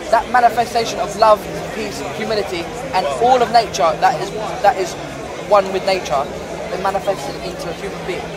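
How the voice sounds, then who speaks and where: A young man explaining his beliefs at length in a street debate, rambling and often asking "right?". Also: high voice. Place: crowded city park outdoors. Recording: loud crowd noise outdoors. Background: crowd chatter.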